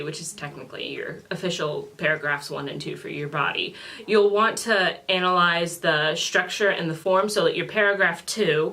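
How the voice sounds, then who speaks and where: Lower-voiced young woman, blunt student tone, casual home recording. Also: fairly bright tone. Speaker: student giving exam advice. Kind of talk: casual advice chat on camera. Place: small home room, indoors. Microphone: camera in front of two seated speakers.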